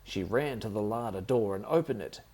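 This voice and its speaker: A man's voice: lowish voice, normal speed, dark tone, breathy edge. A man reading a children's story aloud as the narrator.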